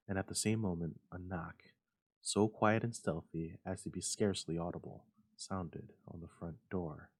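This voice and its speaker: A man reading a classic horror story aloud as a suspenseful, theatrical narrator. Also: deep voice. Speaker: library staff storyteller. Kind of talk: dramatic scripted story narration.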